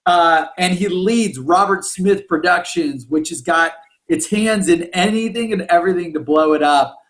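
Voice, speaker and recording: A man's voice: high-pitched, moderate pace, fairly bright tone. A man hosting an interview podcast in an upbeat, effusive and casual way. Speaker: podcast host.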